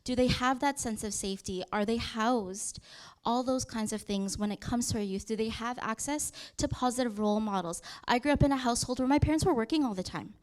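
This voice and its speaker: A woman in her thirties gives a conference presentation, pitched a bit higher than most women. She talks conversationally, often pausing with fillers and correcting herself mid-sentence. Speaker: youth program presenter. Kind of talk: conference presentation walking through slides.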